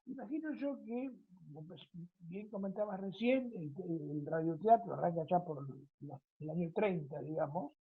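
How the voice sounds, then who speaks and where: Husky Argentine woman interviewer, phone-like sound. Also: on the low side, lively intonation, normal speed. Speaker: interviewer, radio programme host. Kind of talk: conversational interviewing with commentary. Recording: thin phone-like audio.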